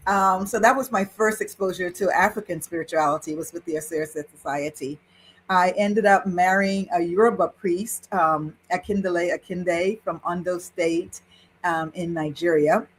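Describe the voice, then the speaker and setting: A woman in her sixties, a priestess and interview guest, recounting her spiritual journey in an animated way with frequent laughter. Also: fairly low pitch, normal speed, breathy edge, low-quality recording. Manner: animated, laughs while telling a story. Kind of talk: personal storytelling in conversation.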